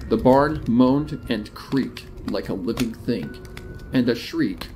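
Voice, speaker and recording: A man's voice: medium pitch, animated, takes it slowly, darkish tone, faint music behind. A man reading a horror story in a dramatic, descriptive narration style. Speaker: fan fiction narrator. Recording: noticeable noise under the narration.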